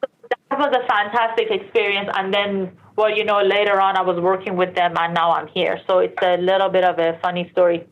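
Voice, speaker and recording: A woman's voice: mid-range voice, level tone, brisk. A woman from Iran who later moved to Canada, answering interview questions casually and conversationally, with explanations, asides and self-corrections. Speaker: interview guest, sports industry professional. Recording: dull, lo-fi band-limited sound.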